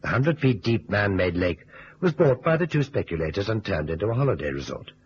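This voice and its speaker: A composed detective in an old-time radio drama. His voice sits low and moves expressively as he narrates and probes. The recording is muffled, with light hiss. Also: big pitch swings, fairly quick. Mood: composed, storytelling. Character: composed male detective narrating and questioning a client.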